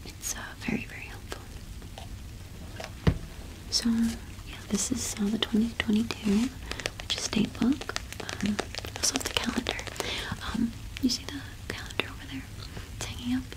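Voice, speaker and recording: A young woman whispering in a breathy, gentle, unhurried way, as in an ASMR roleplay. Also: on the high side, fairly even intonation, rough recording. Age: young adult. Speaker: ASMR host playing a shopkeeper.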